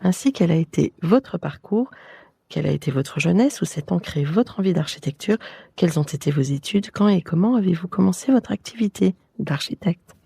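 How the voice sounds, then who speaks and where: A woman hosting an architecture podcast interview, reading her introductions and questions in a poised, measured way. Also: fairly low pitch, expressive, gravelly, dark tone, husky, no room sound. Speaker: podcast host.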